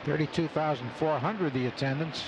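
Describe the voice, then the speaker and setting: A man's voice: on the high side, moderate pace. A man adding brief asides as a partner in a baseball radio broadcast. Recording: radio broadcast with noisy stadium ambience. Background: outdoor ballpark noise.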